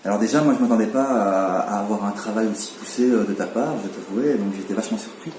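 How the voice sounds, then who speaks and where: A man's voice: on the low side, quick pace, roomy sound, background noise. A man around forty, born in Belgium, talking casually in an interview with many fillers and restarts.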